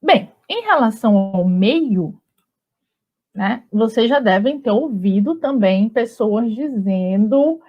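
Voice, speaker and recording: Brazilian woman teaching grammar, chatty and relaxed, keeps checking in, kinda dull lo-fi sound. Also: a little high, takes it slowly. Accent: Brazilian Portuguese. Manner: relaxed and chatty, with frequent tag questions. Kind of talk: conversational online grammar lesson. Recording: slightly dull lo-fi audio.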